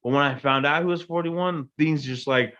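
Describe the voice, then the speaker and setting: A man in his twenties, a podcast guest, telling a personal story in a casual, animated way, often checking in with the listener. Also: a little high, normal speed, lo-fi sound. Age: 20s. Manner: animated storytelling, frequent "you know what I mean". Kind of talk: casual chat.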